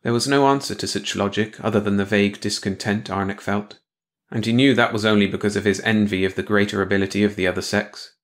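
A man's voice: on the low side, steady tone, quick pace. A man reading a scripted science fiction story as an audiobook narrator, in bedtime read-along style.

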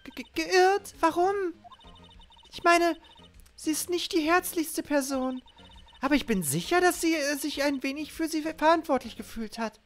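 A man voicing an excitable, easily shocked young woman sidekick in falsetto, with exclaiming delivery. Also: moderate pace. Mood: doubtful, concerned. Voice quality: high falsetto.

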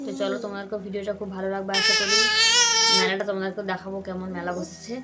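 A woman's voice: medium pitch, sing-song, rapid-fire, heavy background noise. A young woman, talking casually to her viewers from a phone held at arm's length in a small room at home, with English words mixed in. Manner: chatty, addresses viewers directly, mixes in English words. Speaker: vlogger. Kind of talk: casual vlog narration to camera. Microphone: phone camera held at arm's length.

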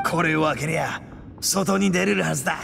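An actor playing a boastful young gang boss who talks loudly with rough slang and a gravelly voice. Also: male voice, fairly high pitch, moderate pace, in a large hall, noisy background. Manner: loud, swaggering, coarse slang. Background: game music.

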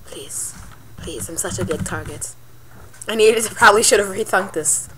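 A woman's voice: mid-range voice, moderate pace. A woman giving live gameplay commentary, yelping, pleading and cheering as she plays. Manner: excitable, yelps and pleads mid-game. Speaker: gaming channel host. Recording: clicky keyboard noise. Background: keyboard and mouse clicks.

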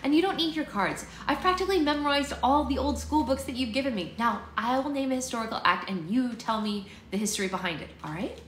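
A woman's voice: sits high, fast, few pauses, voice pushed up, lightly acted. Bookish sister for a storybook, voiced by a woman: young, earnest, eager little tutor, raised voice, roomy acoustic. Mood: brisk, eager. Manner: bright-eyed, eager, instructive. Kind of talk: character voice in story read-aloud. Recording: echoey room, faint background noise.

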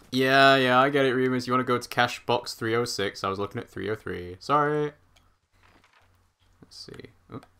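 Bright-toned man streaming a game. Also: mid-range voice. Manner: chatty, thinks out loud, hums while walking. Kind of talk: live let's-play commentary.

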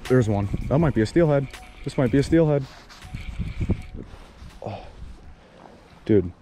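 A young man narrates his own fishing outdoors at an everyday middle pitch, bursting into excited exclamations for his viewers. His voice is caught by an action camera beside a small wooded creek. Clear music plays under the voice. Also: unhurried. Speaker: fishing vlogger.

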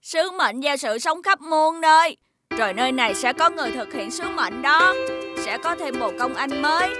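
A clever, kindly little boy in a cartoon. The voice is high and childlike, reassuring as it explains things, over loud music. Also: lively intonation, moderate pace.

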